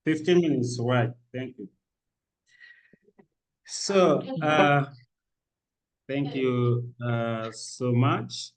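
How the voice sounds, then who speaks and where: Senegalese man, slow academic presenter, halting delivery with frequent fillers. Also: medium pitch, poor audio. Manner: halting, with many fillers and restarts. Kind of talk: conference paper presentation. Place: conference room.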